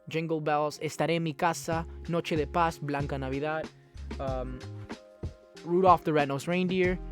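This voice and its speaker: A young man from a Dominican and Peruvian background hosting his own podcast, rambling loosely, restarting sentences and swearing often. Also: fairly high pitch. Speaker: podcast host. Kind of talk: casual solo podcast talk.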